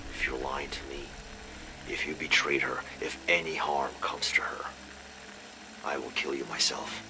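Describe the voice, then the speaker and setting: Stern intelligence team leader, low-pitched, controlled and serious, game audio log. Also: male voice, little pitch movement, measured pace, background noise. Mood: hard, threatening. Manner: controlled, grave.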